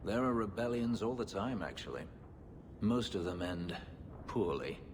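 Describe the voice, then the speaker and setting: A man voicing a brooding, bitter warrior in a video game, speaking in a measured, grave, dryly sardonic way. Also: fairly low pitch, lively intonation, long reverb tail, background noise, lightly acted. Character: brooding, bitter male warrior from a slave-keeping empire.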